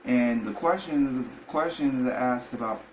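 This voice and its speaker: A man's voice: medium pitch, normal speed. Man, thirties, from New York, solo podcast host, rambling, echoey room. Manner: rambling, repeats filler phrases. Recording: echoey room, faint background noise.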